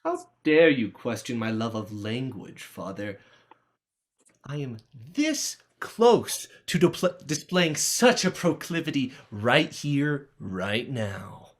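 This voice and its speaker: A man's voice: mid-range voice, very animated, takes it slowly. A man playing a defiant, sarcastic teenage schoolboy with a cheeky, melodramatic manner in a play reading. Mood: mock offended. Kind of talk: dramatized play script reading.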